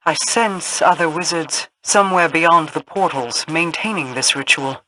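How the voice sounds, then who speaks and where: A woman playing a solemn, proud queen in a video game, speaking formally and imperiously in measured phrases. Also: deep voice, sharp tone, poor audio. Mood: commanding. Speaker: video game character voice actor. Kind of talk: scripted video game dialogue.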